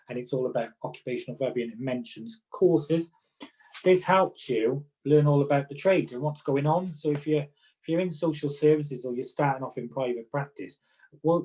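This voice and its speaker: A man's voice: a little high, warm tone, rough recording. A middle-aged man with a Welsh accent giving informal, explanatory advice to fellow professionals as an occupational therapist, chatting through practical examples. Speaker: occupational therapist and conference speaker.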